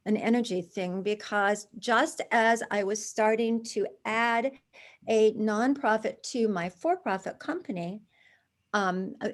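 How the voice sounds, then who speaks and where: Woman, 60s, interview guest; animated storyteller who restarts and adds asides. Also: medium pitch. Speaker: guest, charity founder. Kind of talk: conversational interview.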